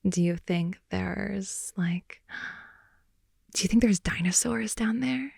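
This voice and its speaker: A young woman improvising an intimate ASMR girlfriend roleplay, rambling in a playful, slangy way and slipping between soft whispers and more animated talk, close to the mic. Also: mid-range voice, slow pace, vocal fry, breathy edge, dry recording. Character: wide-awake chatty girlfriend, silly and playful. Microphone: close microphone, dry sound.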